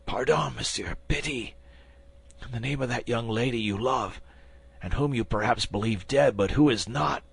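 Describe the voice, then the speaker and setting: A man's voice: normal speed, vocal fry, breathy edge. A man voicing a wounded, terrified soldier who begs for his life. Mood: desperate. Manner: pleading, high and strained.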